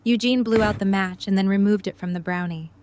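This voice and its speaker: A woman's voice: mid-range voice, fairly quick, a little mellow, a little hiss or hum. A woman reading scripted third-person story narration for an audio drama.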